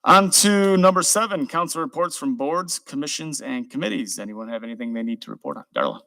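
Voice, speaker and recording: A man's voice: fairly high pitch, lively intonation, brisk, pushed and bright, no room sound, rough recording. A man chairing a city council meeting, speaking informally and giving thanks to city staff. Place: council chamber. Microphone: desk microphone.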